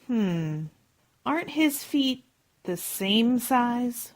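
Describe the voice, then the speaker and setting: A woman's voice: fairly high pitch, animated, drawn-out words. A woman playing a breezy, gossipy best friend in an old-time radio sitcom, arch and dry-witted. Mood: arch, chummy.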